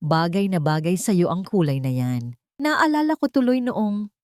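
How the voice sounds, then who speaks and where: A woman with a Filipino accent voicing a humbled, desperate ex-husband in an audio drama, hushed and pleading. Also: lowish voice, big pitch swings, measured pace, no echo, studio quality. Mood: tender, wistful.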